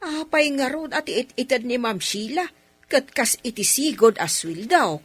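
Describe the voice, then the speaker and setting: A woman's voice: a little high, lively intonation, a little slow, breathy edge, no room sound. A woman playing a folksy, loyal household nanny in an Ilocano radio drama, exclaiming expressively with an Ilocano accent. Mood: meek, questioning. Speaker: voice actor in radio comedy drama.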